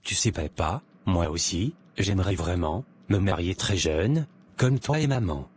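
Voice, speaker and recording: Earnest romantic lead for animation, male actor, early twenties, polite, notably deep voice. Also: lively intonation, a little slow, a little hiss or hum. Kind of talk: animated drama dialogue. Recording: music behind the voice.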